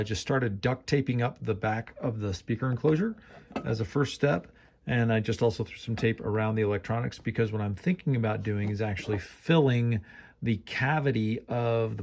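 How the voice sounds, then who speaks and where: A man giving a casual, hesitant hands-on walkthrough of a DIY project, explaining it off the cuff with frequent pauses. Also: on the low side, creaky, a little mellow, slight background noise. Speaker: DIY builder and host.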